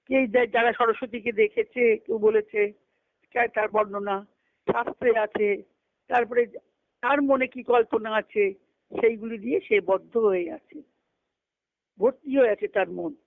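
A woman's voice: a little high, steady tone, unhurried, gravelly, pauses often. A woman reading a spiritual text aloud and explaining it, repeating and rephrasing as she goes, on a phone conference call. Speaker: spiritual discussion leader. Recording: muffled phone-line conference call audio.

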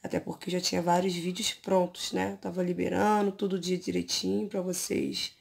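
A Brazilian woman in her thirties, hoarse from an allergy, chatting casually to her viewers from her bedroom with a phone camera close to her face. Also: on the low side, flat delivery, moderate pace. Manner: chatty, hesitant, many fillers. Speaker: beauty vlogger. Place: bedroom at home, lying on pillows.

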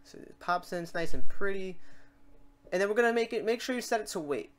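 A man hosting a software tutorial in a casual, chatty way, talking through each step aloud. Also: high-pitched, animated, a little fast, noisy background. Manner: informal, chatty, talks through steps aloud. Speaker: tutorial host.

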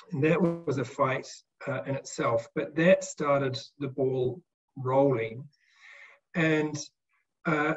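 A man speaking on an online panel, recollecting in a measured, halting way with repeated words, over video call audio. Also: mid-range voice, muffled recording. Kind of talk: reflective recollection in a panel discussion. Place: indoor room, video call. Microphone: video call microphone.

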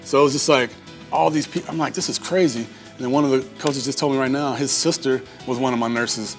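A man's voice: mid-range voice, fairly even intonation, fast, faint music behind. An American man in his forties, a coach, telling casual anecdotes and acting out the quotes. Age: 40s. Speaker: football coach. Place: small office.

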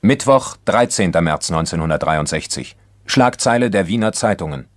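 An actor narrating a crime radio play as a sober, measured chronicler of police files. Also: male voice, deep voice, animated, soft background music. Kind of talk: scripted documentary crime narration.

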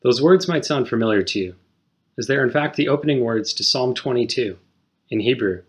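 Measured man giving a short sermon. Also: mid-range voice, expressive. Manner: measured, explanatory, reads scripture aloud. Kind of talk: scripted sermon. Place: small indoor room at home.

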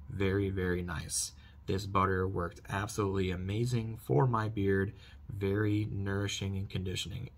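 A man in his thirties giving a casual product review to camera, repeating emphatic stock phrases, recorded at about arm's length in a small bedroom. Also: on the low side. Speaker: product reviewer, channel host. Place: small furnished bedroom, indoors.

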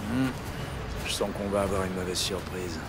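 An actor playing a wary, reproachful police partner in a French audio drama, with a dry manner. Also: male voice, deep voice, normal speed, heavy background noise. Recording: loud music bed behind the voice.

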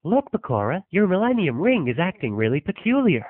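Man acting excitable, animated anime schoolboys. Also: a little fast. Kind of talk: parody anime dub. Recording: tinny low-fidelity web audio, dry.

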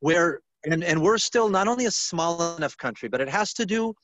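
A man's voice: fairly high pitch, lively intonation, normal speed, a bit bright, no room sound. A man interviewing students on a video call, easygoing, prompting and rephrasing for them. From born outside Israel. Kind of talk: casual conversational questioning.

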